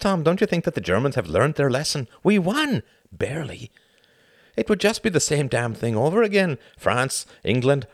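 A man's voice: fairly high pitch, dry recording, studio quality, pitched above the performer's own voice. A man doing Hart, a scoffing young academic. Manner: scoffing, arguing. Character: young male academic friend, skeptical, exasperated.

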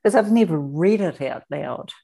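A woman with a New Zealand accent speaking earnestly as an interview guest, deliberate and emphatic, repeating words for weight. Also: on the low side, expressive, vocal fry, mellow. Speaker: interview guest, author and abuse survivor advocate.

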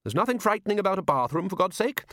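A man voicing a loud, bossy older male head wizard who blusters and insists on getting his way. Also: sits high, big pitch swings, fast talker, dry recording, studio quality, voice pushed up. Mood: blustering. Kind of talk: audiobook character voice.

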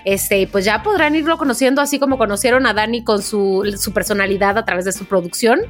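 A woman co-hosting a podcast in casual chat, playful and expressive in the way she talks. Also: medium pitch, quick pace, faint music behind. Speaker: podcast co-host.

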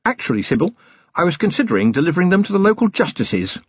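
A man voicing a dry, dutiful middle-aged policeman husband in an audiobook, measured and understated. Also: fairly high pitch, very animated, breathy edge, no room sound, highs cut off, voice pushed up. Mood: measured, mild. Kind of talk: audiobook character voice.

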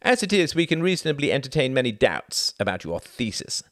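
A man reading aloud, voicing a cool, wry male British diplomat who speaks in a measured, mildly condescending way. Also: medium pitch, sing-song, fairly bright tone, dry recording, studio quality, voice pushed up.

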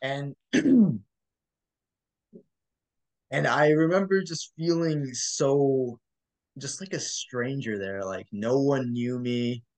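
A man's voice: medium pitch, slow pace, pauses often, darkish tone, rough recording. A young man from Michigan co-hosting a casual student chat, rambling a little with plenty of "like" and "um" and laughing easily. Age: young adult. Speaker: co-host.

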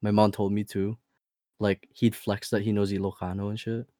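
A young man in his twenties, a guest on a podcast, chatting in an excitable, slangy way. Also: lowish voice, normal speed, dry recording, studio quality. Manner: excitable, slangy, laughs often. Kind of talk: casual chat.

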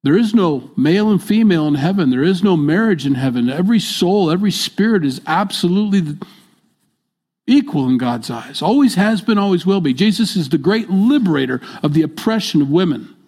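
An older man preaching a midweek sermon in a church, stressing his points by repeating them and moving between loud and soft delivery. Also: high-pitched, expressive, fairly quick. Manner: emphatic, repeats key phrases, swings between loud and soft. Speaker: pastor.

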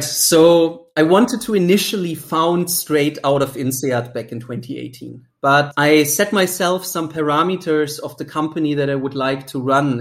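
A man in his thirties with a German accent, a startup founder answering podcast interview questions in a measured, articulate way. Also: on the high side.

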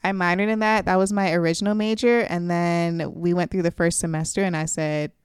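A young woman co-hosting a podcast in casual chat, playful and quick with teasing banter. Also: on the low side, little pitch movement, clean tone, dry recording, studio quality. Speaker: podcast co-host.

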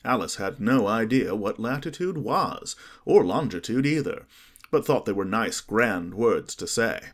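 A man narrating an audiobook in an expressive storytelling manner. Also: fairly low pitch, a little slow.

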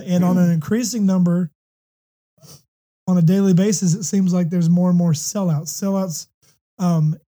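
A hoarse, slightly congested man from the United States hosting a faith talk show, speaking earnestly with many ums and restarts. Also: sits high, mellow. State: hoarse with a runny nose. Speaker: podcast host.

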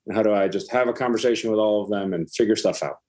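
A man's voice: on the low side, fast, darkish tone. A middle-aged man from Iceland talking casually as a startup founder and interview guest.

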